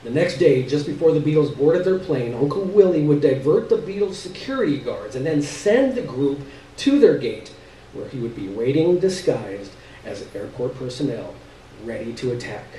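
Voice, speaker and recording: A man's voice: mid-range voice, lively intonation, normal speed, warm tone. A man giving a live dramatic reading of first-person literary fiction on stage. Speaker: reader of a short story. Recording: echoey room, distant mic, background noise.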